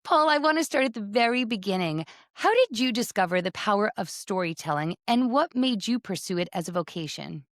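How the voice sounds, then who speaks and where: A woman's voice: mid-range voice, animated, brisk, hardly any pauses, no echo, studio quality. A middle-aged woman hosting a podcast in a conversational, effusive and encouraging way. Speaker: podcast host.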